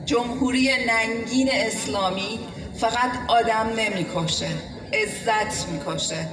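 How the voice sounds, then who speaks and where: Female rally speaker, medium pitch, long echoing reverb, noisy crowd behind, protest speech.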